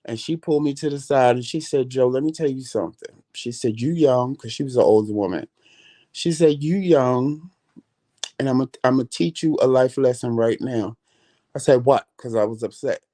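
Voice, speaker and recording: Light-voiced man telling stories casually. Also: medium pitch. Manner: animated, swears freely, greets chat viewers by name. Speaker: vlog host. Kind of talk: casual storytelling chat.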